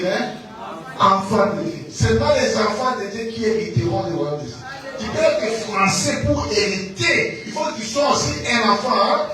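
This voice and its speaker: A man with a Congolese accent preaching fervently, repeating phrases emphatically and prompting his listeners to respond. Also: sits high. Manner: repetitive, emphatic, calls on listeners to answer. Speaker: preacher, pastor. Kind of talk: fervent, exhorting sermon. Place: church hall. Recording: very echoey church PA, distant, music behind.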